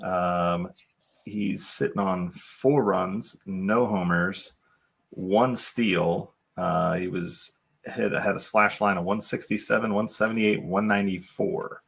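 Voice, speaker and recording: A man co-hosting a casual fantasy baseball show, making dry, deadpan wisecracks and reading stats. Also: low-pitched, slow, gravelly, breathy edge. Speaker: co-host.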